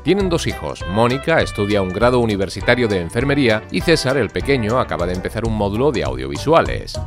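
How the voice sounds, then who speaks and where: A man's voice: on the low side, very animated, fast talker. A man narrating a scripted audio fiction as its presenter. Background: guitar music.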